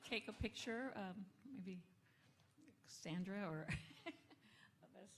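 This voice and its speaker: A woman's voice: mid-range voice, lively intonation, slow pace, a little hiss or hum. Middle aged woman, vice mayor, hesitant remarks at a community event, lots of ums. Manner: hesitant, many fillers.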